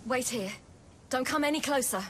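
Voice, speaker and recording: A slightly high young voice, that of a protective swordswoman played by an actress in a fantasy game. She is calm, gentle and resolute, with faint ambience behind her. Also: normal speed, a little hiss or hum, natural voice. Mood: firm. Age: young adult.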